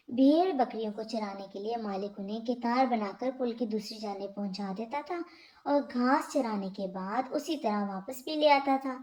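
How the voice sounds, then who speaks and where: A woman narrating scripted moral stories for children in a deliberate storybook manner. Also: fairly high pitch, a little mellow.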